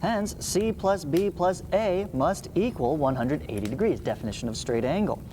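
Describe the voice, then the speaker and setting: A man's voice: a little high, moderate pace. A man in his thirties teaching a math lesson, reading aloud and adding informal explanations with little check-ins. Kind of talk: read-along geometry lesson with explanatory asides. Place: small indoor room, seated before a green screen. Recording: clear steady background noise.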